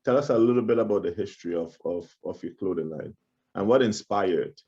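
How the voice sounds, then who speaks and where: A man's voice: low-pitched, fairly quick, dark tone, slightly rough. A man from Trinidad hosting an interview, talking in a conversational, affirming way and often repeating words as he thinks. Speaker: podcast host. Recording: dull lo-fi audio.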